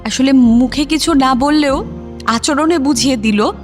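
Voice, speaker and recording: A high-pitched young woman speaks haltingly and confidingly, worried and wistful about those she loves. It is intimate radio drama acting, with music playing underneath. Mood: wistful, nostalgic. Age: young adult. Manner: halting, confiding, emotional delivery. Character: worried, wistful young working woman devoted to her ailing brother.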